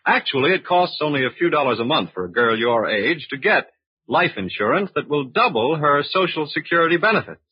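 A man's voice: fairly high pitch, sing-song, a little fast, slightly rough, no echo. A man announcing and selling in 1940s radio style, with an emphatic, polished salesman's delivery. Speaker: radio announcer and sponsor spokesman. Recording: muffled old radio broadcast recording.

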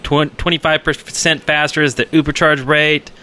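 A man's voice: fairly high pitch, little pitch movement, moderate pace, sharp tone. A man co-hosting a casual gaming podcast, chatting playfully in short, punchy phrases. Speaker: podcast co-host.

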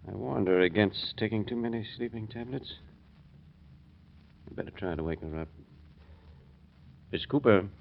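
A busy family doctor of vintage radio, professional and unflustered. His low voice creaks, and the old recording is muffled with some noise. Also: moderate pace, pauses often. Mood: businesslike concern. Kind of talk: 1940s radio drama acting.

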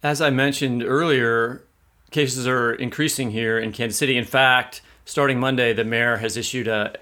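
A man's voice: mid-range voice, fairly even intonation, moderate pace, pushed and bright. An American man co-hosting a podcast, discussing things casually in an informal, opinionated way. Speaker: podcast co-host.

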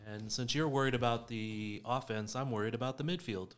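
A man's voice: mid-range voice, a little fast, clear, resonant tone. A man on a podcast panel chatting casually and off the cuff about soccer, talking into a podcast microphone. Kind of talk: casual sports chat.

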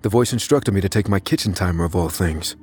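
A male actor plays an unassuming, anxious man with a low voice, narrating a mystery in the first person. He speaks in a measured, confessional way, as in a fiction podcast. Soft music sits faintly behind him. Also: close to an everyday voice. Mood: confiding, reflective. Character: anxious unassuming man recounting his eerie, secret experience.

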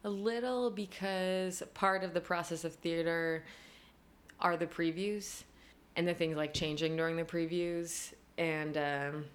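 A woman's voice: fairly low pitch, steady tone, measured pace. A young woman being interviewed as a guest, chatty and casual, with plenty of fillers and frequent laughs. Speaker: costume designer, guest.

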